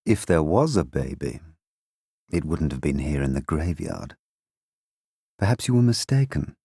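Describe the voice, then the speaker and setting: An actor playing a condescending man who questions people in a measured, persuasive way, in an audiobook drama. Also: very deep voice, big pitch swings, mellow, slightly rough, no room sound, clean studio sound, full character voice. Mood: coolly doubting.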